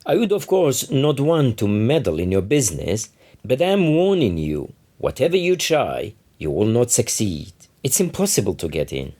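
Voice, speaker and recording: A man's voice: mid-range voice, wildly swooping pitch, moderate pace, mellow. A man reading a storybook, voicing a stern, discouraging old male guard in a harsh, formal way. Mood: stern warning. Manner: formal, warning. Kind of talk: audiobook character reading.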